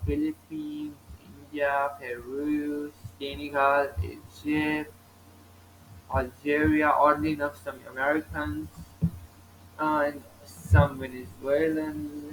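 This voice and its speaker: Man, casual map narration, slightly high voice, rambling, lots of fillers, loose grammar. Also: a little hiss or hum, low-quality recording. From born in Italy. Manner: rambling, heavy on "you know" fillers; loose grammar with frequent slips. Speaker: geography video host. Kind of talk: casual narration over maps.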